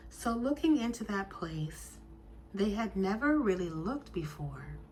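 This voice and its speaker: A woman telling a story as a guided meditation, soothing and deliberate, with hushed phrase endings. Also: on the low side, a little hiss or hum, poor audio.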